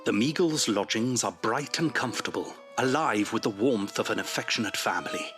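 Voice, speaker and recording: A man's voice: fairly low pitch, fairly quick, over music, lightly acted. A man giving scripted dramatic narration as the narrator of an audio drama.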